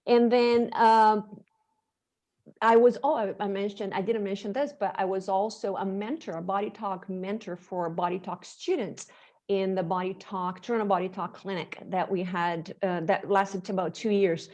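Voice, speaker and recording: A woman's voice: mid-range voice, steady tone, normal speed. Chatty woman, Portuguese her first language. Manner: chatty, warm laughs, frequent restarts. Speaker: guest. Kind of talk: casual interview chat. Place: home with a lake view.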